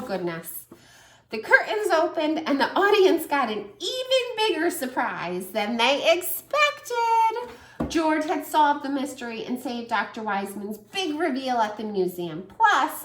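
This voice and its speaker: Animated woman reading aloud to toddlers. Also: high-pitched, slight background noise. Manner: warm, animated, asks children questions. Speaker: children's story reader and teacher. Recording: echoey room.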